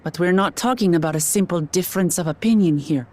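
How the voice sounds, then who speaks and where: A woman's voice: fairly low pitch, fast talker, a little mellow, lightly acted. A woman playing an earnest, determined young warrior in a video game, plainspoken and direct. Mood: resolute. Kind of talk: scripted video game cutscene acting.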